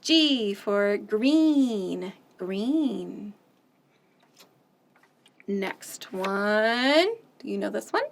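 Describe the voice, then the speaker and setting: A woman hosting a children's storytime, reading picture books aloud and singing nursery songs in an animated, sing-song way with loud playful sound effects. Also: medium pitch, very slow, creaky, long pauses, slight background noise.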